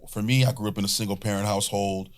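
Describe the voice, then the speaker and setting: A male pastor talks in a relaxed, jokey, colloquial way. His voice is very deep and low. He sounds like an easygoing interview guest. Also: very fast. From Atlanta, Georgia, USA. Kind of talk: casual interview talk.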